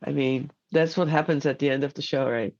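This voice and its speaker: A woman's voice: extremely low pitch, steady tone, a little fast, a little mellow, highs cut off. A woman from the Netherlands, a podcast guest who chats playfully and laughs easily. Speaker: guest, former student. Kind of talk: casual conversation.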